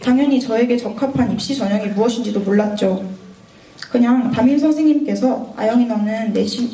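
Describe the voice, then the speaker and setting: Woman in her 20s, a bit hoarse from a cold, mellow voice, sounds far from the mic in a roomy space. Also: on the high side, a little hiss or hum. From North Gyeongsang, Korea. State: sore throat from a cold. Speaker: university student mentor. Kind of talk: prepared mentoring talk.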